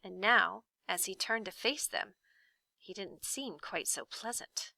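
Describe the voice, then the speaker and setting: A woman, a teacher reading aloud, giving an expressive storybook narration. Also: mid-range voice, unhurried, gravelly, dry recording.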